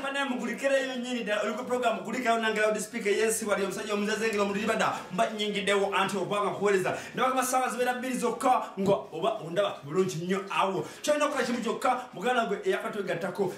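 A middle-aged man with a Ugandan accent, delivering an animated comic monologue to camera as a show host, often repeating phrases for emphasis. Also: high voice. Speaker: online comedy show host. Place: small indoor studio with hard walls. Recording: echoey room, distant microphone, music.

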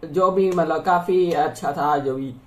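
A man's voice: fairly high pitch, very fast, darkish tone, roomy sound. A young man from India hosting a reaction video, chatting casually and thinking aloud with frequent laughs, seated in a small room with headphones on. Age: young adult.

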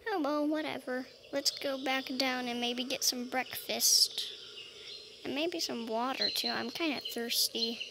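Woman, high creaky voice, casual solo game roleplay, murmured little hums between remarks. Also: measured pace, very noisy. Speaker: gameplay video player. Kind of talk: casual solo roleplay as a dragon while playing.

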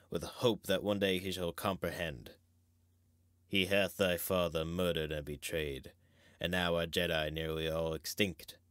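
Man playing a deep-voiced wise old knight. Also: fairly bright tone, no echo, studio quality. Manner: measured, theatrical. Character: wise old male hermit knight, grave and mentoring. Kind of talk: Shakespearean dramatic reading.

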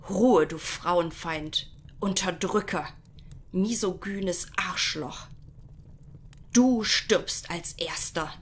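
A scheming villainess of a parody detective radio play, acted by a woman, speaking slowly and with haughty menace. Her voice sits on the low side, over faint background noise. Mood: angry. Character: scheming, gloating villainess holding heroes at gunpoint. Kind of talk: parody detective audio drama acting.

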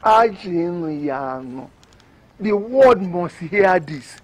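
A man's voice: high-pitched, lively intonation, very slow, darkish tone, slightly rough, no echo, heavily acted. An actor with a Nigerian accent playing a blunt, accusing older village man in a film drama, scolding and questioning.

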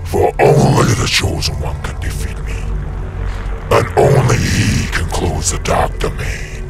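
An actor playing a tyrannical, wrathful demonic lord in a radio play, gravelly and commanding, with slow pompous menace. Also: male voice, rumbling low, sing-song, music in the background, full character voice. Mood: menacing. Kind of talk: radio play villain acting.

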